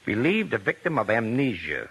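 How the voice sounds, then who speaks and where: A man playing a suspicious, insistent police detective in a 1940s radio drama, with a husky voice and clipped, accusing delivery. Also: medium pitch, huge pitch swings, normal speed, a bit bright, heavily acted, low-quality recording. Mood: deliberate, needling. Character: pressing, suspicious male police detective interrogating suspects.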